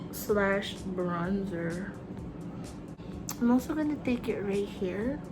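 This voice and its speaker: Bubbly filipino girl doing a makeup tutorial, slow easy talk, music playing behind. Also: mid-range voice, low-quality recording. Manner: chatty, bubbly, talks while applying makeup. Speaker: makeup vlogger. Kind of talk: casual makeup tutorial narration. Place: small bedroom with fairy lights.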